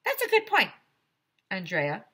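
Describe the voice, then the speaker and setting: A woman's voice: mid-range voice, huge pitch swings, unhurried, a bit bright, husky, full character voice. A woman voicing a patient, encouraging male school visitor in a storybook, questioning and coaxing.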